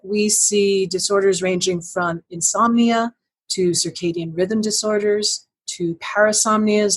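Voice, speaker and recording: Husky woman explaining sleep science. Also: medium pitch, rough recording. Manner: articulate, measured explaining. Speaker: sleep medicine clinician guest. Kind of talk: explanatory interview answers.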